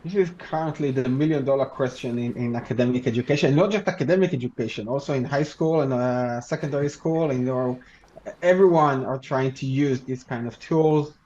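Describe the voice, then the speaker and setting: A man's voice: mid-range voice, dark tone, a little hiss or hum. Hebrew-speaking man with muffled audio. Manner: measured, halting, with many restarts and "you know". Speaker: law researcher and lecturer. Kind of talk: thoughtful interview answers.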